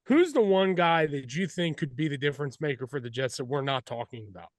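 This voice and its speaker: A man's voice: fairly high pitch, animated, brisk, no echo, studio quality. A man hosting a sports show, interviewing a guest in a casual, chatty way.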